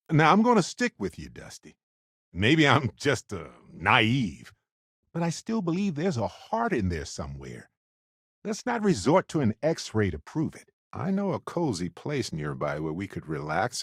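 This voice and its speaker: An actor playing a chatty, good-natured space explorer in a video game, speaking in a playful, conversational way with wry expressiveness. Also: male voice, medium pitch, slightly rough, no echo, studio quality, heavily acted. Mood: upbeat, playful, then reflective, low-key. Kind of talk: video game companion voice lines.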